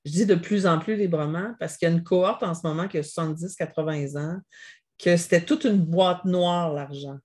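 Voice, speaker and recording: Measured Québécois woman mediator. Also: on the low side, a little mellow, breathy edge. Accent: Québécois French. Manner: measured, explanatory, reflective. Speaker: guest expert, family business mediator. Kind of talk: interview answers.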